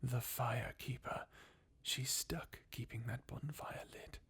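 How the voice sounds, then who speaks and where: A performer voicing a jaded, sardonic undead warrior from a fantasy video game, in a breathy, husky half-whisper with a weary, mocking manner. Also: medium pitch, steady tone, takes it slowly, fairly bright tone, exaggerated voice. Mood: bleakly mocking. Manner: sardonic, weary, chuckling bleakly. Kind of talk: fan redub of video game dialogue, ASMR-like.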